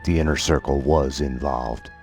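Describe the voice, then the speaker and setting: A very deep-voiced, world-weary detective broods in deadpan hard-boiled game narration. His delivery is terse and sardonic, with music clearly behind him. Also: moderate pace. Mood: grim, brooding. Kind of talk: noir scripted narration and game dialogue.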